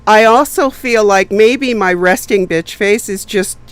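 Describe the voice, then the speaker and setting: A woman's voice: medium pitch, a little slow, clean tone, dry recording. A woman on a video call with wry humor, often chiming in with short agreements. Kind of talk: casual group chat.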